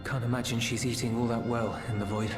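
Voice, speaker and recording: An actor playing a caring, slightly awkward warrior in a fantasy video game, reserved and a little hesitant. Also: male voice, medium pitch, little pitch movement, quick pace, reverberant, music in the background, close to an everyday voice. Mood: awkwardly caring.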